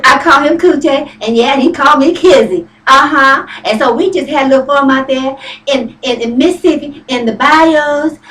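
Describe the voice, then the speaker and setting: A woman's voice: high voice, fairly bright tone, reverberant, recorded from a distance, rough recording. A woman playing a chatty Southern country farm wife with a folksy drawl, rambling with fillers in a comic monologue.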